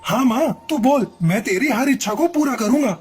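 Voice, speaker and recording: A woman's voice: fairly high pitch, lively intonation, moderate pace, darkish tone, slightly rough, faint music behind. A woman voicing a young male pigeon in a cartoon, a dutiful husband and son with a plain, decisive manner.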